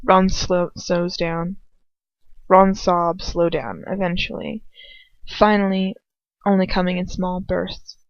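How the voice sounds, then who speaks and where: A woman's voice: fairly low pitch, level tone, slow, no echo. A woman narrating first-person fiction as an amateur audiobook reader, in an earnest, emotive, dramatic style. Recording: dull lo-fi home recording.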